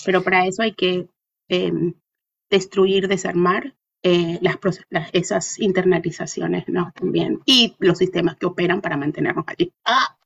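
A woman's voice: lowish voice, fairly even intonation, moderate pace, vocal fry, fairly bright tone, husky, lo-fi sound. A woman from Caracas with a Venezuelan accent, answering reflectively in an interview, thoughtfully searching for words and checking in with small tag questions. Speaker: interview guest, dancer and artist.

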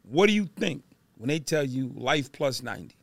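A man's voice: mid-range voice, very animated, moderate pace, gravelly, dry recording. Hyped male host, dry close sound. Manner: hyped, emphatic, probing questions. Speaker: interview host. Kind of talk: conversational interview.

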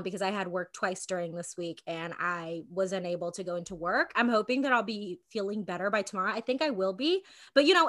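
A woman's voice: medium pitch, a bit bright. A young woman co-hosting a podcast, chatty and rambling with lots of fillers, a little stuffy from a cold. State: congested with a cold and cough. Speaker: podcast co-host. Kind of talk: casual podcast chat.

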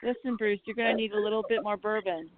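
A woman's voice: mid-range voice, steady tone, fast talker, heavy background noise. A woman chatting casually in a playful, teasing manner. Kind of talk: casual chat. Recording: tinny phone line.

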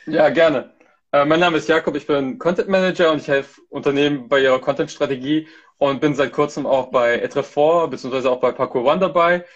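A man's voice: fairly high pitch, fairly even intonation, brisk, lo-fi sound. A man from Hamburg chatting casually as a guest on a live stream, hesitant, with many restarts and fillers. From Hamburg, Germany. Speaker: content manager, guest. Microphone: video call.

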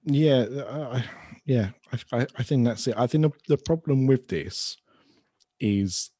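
A man's voice: mid-range voice, unhurried, mellow, slightly rough, no echo. A man co-hosting a podcast in casual chat, speaking hesitantly and often stammering over his words. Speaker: podcast co-host.

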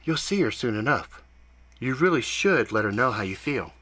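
A man voicing a calm telepathic woman guide who speaks softly and soothingly, with a touch of dry teasing. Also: medium pitch, normal speed, lightly acted.

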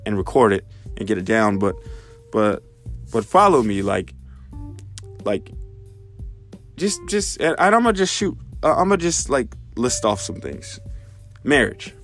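A man's voice: fairly low pitch, animated, a little slow, pauses often, faint music behind. A man in his thirties, congested, hosting a podcast and thinking aloud, with many fillers and restarts. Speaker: podcast host. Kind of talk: casual spoken reflection.

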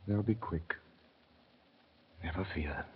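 An actor playing a noble, self-sacrificing English gentleman in a mid-century radio drama, urgent yet composed, with a husky voice. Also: deep voice, takes it slowly, very noisy. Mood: tender, solemn. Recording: tinny old radio broadcast recording.

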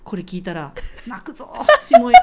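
A woman hosting a podcast in casual chat, playful and quick to laugh. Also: lowish voice, huge pitch swings, noisy background. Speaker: podcast host. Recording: faint music behind.

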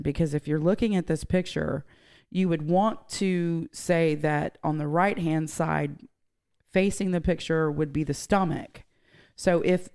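A hoarse woman co-hosting a casual podcast, explaining X-ray anatomy in a chatty way. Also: lowish voice, a little mellow. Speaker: podcast co-host.

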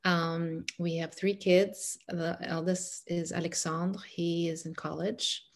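Low-voiced Egyptian-raised woman, gravelly. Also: little pitch movement, slow. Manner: warm, earnest, many fillers and restarts. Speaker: guest. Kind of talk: casual conversational interview.

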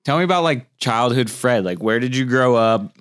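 A man's voice: medium pitch, expressive, moderate pace, a bit bright, clean tone, no echo, clean studio sound. A man hosting a podcast interview, chatting loosely and swearing casually, his voice jumping up when he asks questions. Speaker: podcast host.

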